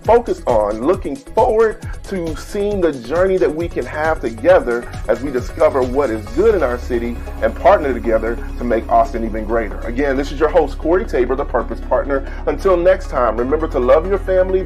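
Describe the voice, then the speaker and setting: A man's voice: mid-range voice, lively intonation, brisk, hardly any pauses, darkish tone, soft background music. A man from Texas, a podcast host, giving an upbeat, motivational scripted introduction.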